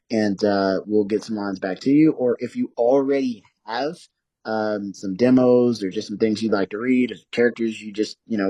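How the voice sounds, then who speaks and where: A man speaking as an interview guest, explaining things casually in halting, hesitant phrases. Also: mid-range voice, a little mellow, no room sound. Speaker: interview guest, audio drama producer.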